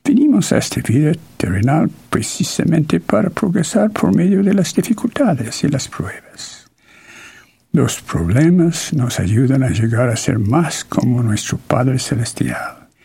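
Slow, gravelly man giving a solemn sermon. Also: on the high side, lively intonation, warm tone. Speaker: church conference speaker. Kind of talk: solemn sermon closing testimony.

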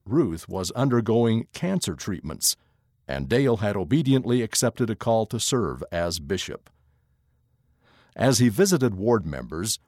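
Man narrating with lively intonation. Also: fairly low pitch, no room sound, studio quality. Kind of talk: scripted narration of a magazine article.

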